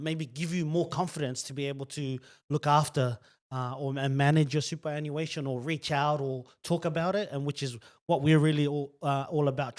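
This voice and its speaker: Enthusiastic, rambling host. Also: male voice, on the high side. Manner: enthusiastic, rambling, laughs between phrases. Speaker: podcast host. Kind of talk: casual show hosting.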